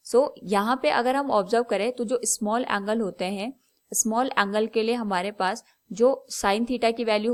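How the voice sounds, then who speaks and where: A woman with an Indian accent speaks as a teacher walking students through material. Her voice sits at an everyday middle pitch. She explains in an instructional, methodical way, one step after another. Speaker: physics teacher. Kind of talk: step-by-step lesson explanation, switching between Hindi and English.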